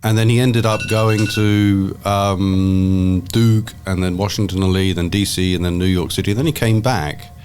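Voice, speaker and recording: A man hosting a casual interview at a campus open house, encouraging and quick with short affirmations. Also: low-pitched, steady tone, darkish tone, soft background music. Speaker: podcast host interviewer.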